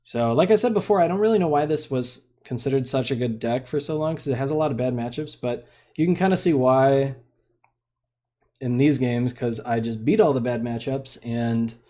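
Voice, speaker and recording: A young man talks through his card game in a relaxed, rambling way, full of fillers and half-finished thoughts. His voice is mellow and of middling pitch. Faint mouse clicks sit behind him. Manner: laid-back, rambling, lots of fillers. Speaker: streamer playing a card game. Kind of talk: casual gameplay commentary.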